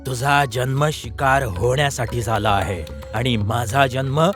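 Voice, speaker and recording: A man voicing a stern lion king in an animated fable, with a commanding manner. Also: mid-range voice, a little slow, music in the background, close to an everyday voice. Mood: haughty, merciless. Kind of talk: dubbed animated moral fable.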